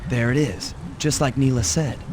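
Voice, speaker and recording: A man voicing a suave, cocky young raccoon thief in a cartoon video game, smooth and wisecracking. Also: medium pitch, fairly even intonation, normal speed, heavy background noise, lightly acted. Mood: casual, confident.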